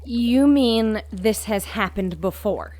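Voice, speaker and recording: A woman's voice: medium pitch, slow, warm tone, natural voice. An actress playing a proud, anguished reanimated mother who is a flesh-eating monster, in an audio drama. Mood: shaken.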